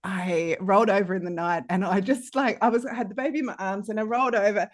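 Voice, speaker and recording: Breezy, matter-of-fact woman, medium pitch. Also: quick pace. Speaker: podcast creator, mother. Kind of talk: casual chat.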